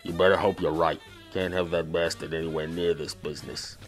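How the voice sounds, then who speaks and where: An actor playing a gruff, world-weary, sardonic police officer in a noir audio drama, speaking curtly. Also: male voice, low-pitched, little pitch movement, fairly quick, music in the background, lightly acted. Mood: curt, stern. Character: gruff cynical shady male police officer.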